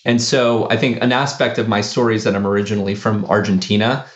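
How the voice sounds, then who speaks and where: A man's voice: on the low side, level tone. Startup founder guy being interviewed, lots of uhs and you knows, echoey dull audio. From United States. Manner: halting, many fillers and restarts. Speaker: company founder and CEO. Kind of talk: interview answers.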